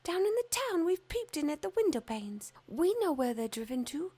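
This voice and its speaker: A flock of gossipy grey sparrows from a storybook, twittering over each other in high, excited voices, as a woman puts them on. They chatter quickly and well above the reader's usual pitch. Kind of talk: storybook reading.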